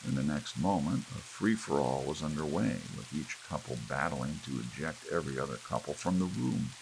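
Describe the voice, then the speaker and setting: A man's voice: a bass voice, normal speed, vocal fry. A man reading scripted fiction as an ASMR audiobook narrator. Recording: steady rain and hiss behind. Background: soft rain.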